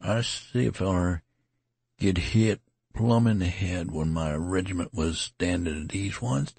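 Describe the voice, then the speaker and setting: A man's voice: deep voice, measured pace, gravelly, darkish tone, dry recording, voice pushed up, full character voice. A man voicing a wounded, ragged soldier who rambles on in a folksy country dialect. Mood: rambling, worried. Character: wounded ragged male soldier, garrulous and rustic.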